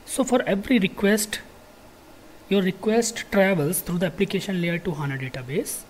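Software trainer, medium pitch, informal technical lecture, halting and repetitive, faint background noise. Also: lively intonation, low-quality recording. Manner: repetitive, halting explanation with frequent check words.

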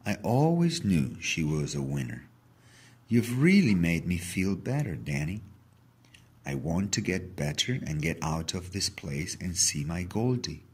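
A man voicing an excitable, sick old grandfather in a children's storybook. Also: low voice, measured pace, breathy edge, full character voice. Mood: relieved, pleased. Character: agitated sick old grandfather in hospital bed. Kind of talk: children's storybook read-aloud.

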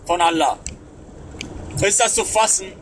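A man in his thirties hosts a phone livestream, his voice sitting high as he mocks and reads from the chat. The delivery is sarcastic and scornful. A steady layer of noise sits under the whole stream. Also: a little slow. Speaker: livestream host. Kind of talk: mocking livestream commentary. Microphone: phone camera close up.

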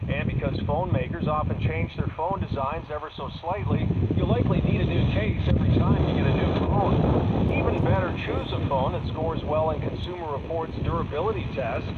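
A man working as a consumer reporter, reading a scripted news report. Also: a little high. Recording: noisy, dull shortwave radio reception.

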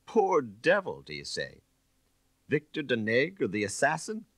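A man voicing a suave, self-satisfied gentleman thief in an audiobook, speaking in a proud, grandiloquent manner. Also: medium pitch, wildly swooping pitch, measured pace, no echo, clean studio sound, voice pushed up, full character voice. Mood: emphatic. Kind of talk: audiobook character reading.

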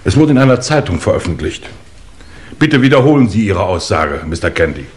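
Serious polite cop, lowish voice, formal and measured, old radio drama. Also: male voice, sing-song. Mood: courteous, official. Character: polite, grave police investigator. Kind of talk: 1950s German radio play acting.

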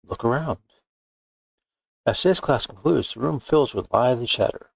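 Gravelly man playing through a visual novel. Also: medium pitch, normal speed, dry recording. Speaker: gaming video host. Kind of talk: visual novel playthrough, reading aloud with casual commentary.

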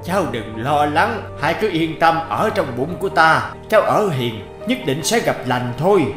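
Man doing a kind old grandpa fish for a cartoon, slow soothing talk, highish voice, music behind. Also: lively intonation, reverberant, lightly acted. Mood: calm, reassuring. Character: kindly, protective grandfatherly big fish. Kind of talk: Vietnamese cartoon fairy-tale acting.

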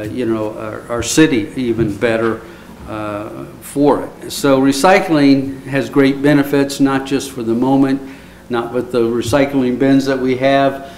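A man serving as mayor, giving a halting speech with many fillers at a city council meeting. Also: mid-range voice, darkish tone, slight background noise. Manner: hesitant, many filler words. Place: council chamber. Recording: echoey council chamber.

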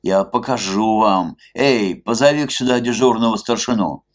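A man's voice: mid-range voice, lively intonation, normal speed, gravelly, a little mellow, highs cut off, voice pushed up. A man voicing an indignant, bespectacled club official, flustered and pompous in his outrage.

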